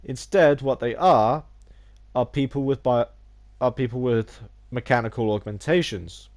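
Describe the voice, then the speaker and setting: Gaming YouTuber with a head cold, a little nasal, stumbling and restarting sentences, mid-pitched. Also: male voice, a little slow, darkish tone. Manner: rambling, many restarts and stumbles; theatrical opening greeting. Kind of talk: casual video essay.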